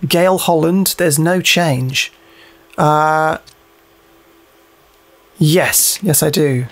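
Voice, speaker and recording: A man's voice: on the high side, extremely slow. A man hosting a gaming video with casual, jokey, sweary commentary, muttering to himself and reading on-screen text aloud. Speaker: gaming video host playing a horror game.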